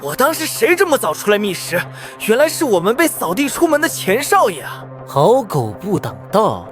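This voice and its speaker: An actor playing an arrogant young clan bully in an animated drama, mocking and swaggering. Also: male voice, high voice, moderate pace, exaggerated voice. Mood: mocking, threatening. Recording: music behind the voice.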